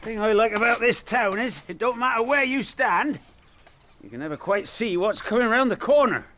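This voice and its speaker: Sly, nosy man, lively pitch swings, teasing, faint ambience, radio play. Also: moderate pace, slight background noise. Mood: sly, probing. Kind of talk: naturalistic radio drama acting.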